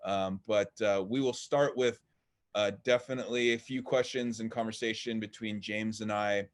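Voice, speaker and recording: Low-voiced man hosting a live interview. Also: fairly even intonation, moderate pace. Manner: rambling, many fillers and restarts. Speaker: host. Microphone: video call.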